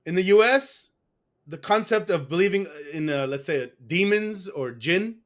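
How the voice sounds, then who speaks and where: A man's voice: high voice, lively intonation, unhurried. A man being interviewed, telling a long personal story in a rambling, casual way. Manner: rambling, with restarts and fillers. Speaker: guest. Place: West.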